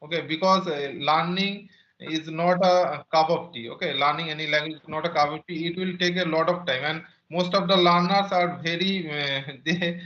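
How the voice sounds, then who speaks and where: A man's voice: on the high side, fairly even intonation, moderate pace, roomy sound, dull audio. A man hosting an interview, giving earnest, repetitive advice with many fillers and restarts. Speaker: host, English teacher.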